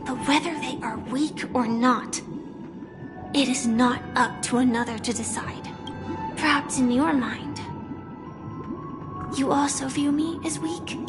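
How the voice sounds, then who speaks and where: An actress playing a gentle but resolute young woman in a video game, earnest and deliberate. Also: high-pitched. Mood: earnest, defiant. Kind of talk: scripted game voice acting. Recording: loud music behind. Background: music.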